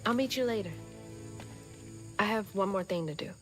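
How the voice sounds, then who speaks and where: A woman's voice: mid-range voice, moderate pace, lightly acted. A young woman playing a brave, earnest, determined daughter and hero in a video game cutscene. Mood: pleading, determined. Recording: loud music under the voice.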